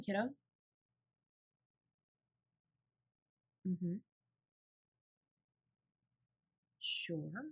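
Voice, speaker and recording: A woman playing a protective, tender older sibling in an intimate audio roleplay, with a soft, breathy, reassuring delivery. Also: mid-range voice. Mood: gentle, coaxing. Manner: gentle, reassuring, lightly teasing.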